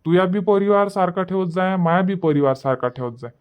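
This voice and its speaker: A man's voice: sits high, fairly quick, clear, resonant tone, lightly acted. A man voicing an indignant, defensive village husband in a cartoon comedy, arguing in a Varhadi Marathi accent. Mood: defensive, reproachful. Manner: argumentative, reproachful. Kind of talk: colloquial cartoon comedy dialogue.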